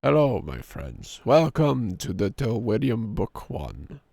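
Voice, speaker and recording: A man in his thirties with a sore, hoarse throat and a gravelly edge, talking slowly with a lively, swinging pitch. He streams a game and reads its story text aloud, sinking into a deeper storyteller voice. The sound is close and dry. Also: no room sound. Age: 30s. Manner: drops into a deep storyteller voice for the narration.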